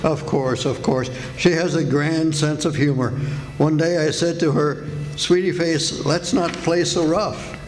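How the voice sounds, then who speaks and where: Man playing a meek, high-voiced henpecked husband. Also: steady tone, a little slow, close to an everyday voice, poor audio. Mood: rueful. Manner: mild, polite, prim. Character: meek, henpecked, cheerfully resigned little husband Wimple. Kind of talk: 1940s radio comedy acting.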